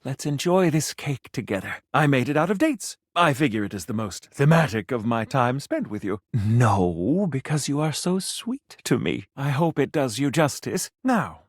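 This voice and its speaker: Flamboyant, pompous gourmand critic, swooping delivery. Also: male voice, medium pitch, sing-song, moderate pace, few pauses, no echo, studio quality. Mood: grand, sentimental. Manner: theatrical, formal, haughty, sprinkled with French flourishes. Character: pompous, flamboyant male food critic, flirty gourmand dandy. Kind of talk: video game character acting.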